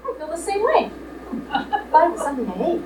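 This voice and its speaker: A flippant young bride, acted by a woman in an old-fashioned comic radio play. Her voice is very high and swings widely, with a wry, theatrical delivery. The recording is distant, with clear background noise. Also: sing-song, fast talker. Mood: wry, smitten. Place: live radio play performance in a room. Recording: distant echoey live stage, clearly noisy.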